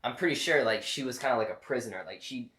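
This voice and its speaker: A man's voice: mid-range voice, expressive, fast talker, a bit bright. A young man explaining points casually on a film review panel, with many fillers. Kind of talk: casual group chat. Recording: roomy echo.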